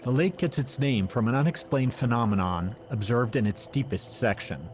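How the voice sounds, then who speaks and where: A man's voice: mid-range voice, moderate pace, slight background noise. A man reading scripted documentary narration as the voice of an audio encyclopedia. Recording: music under the narration. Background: background music.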